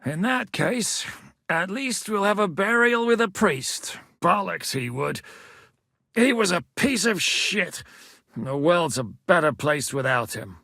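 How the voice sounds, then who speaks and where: A blunt, coarse mercenary commander. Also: male voice, sits high, very animated, slow, vocal fry, pushed and bright, dry recording, exaggerated voice. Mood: wry, gruff. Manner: blunt, coarse-spoken, dry wit. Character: blunt battle-hardened male mercenary commander, middle-aged. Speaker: military commander. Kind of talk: video game voice acting. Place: studio booth. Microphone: close studio mic.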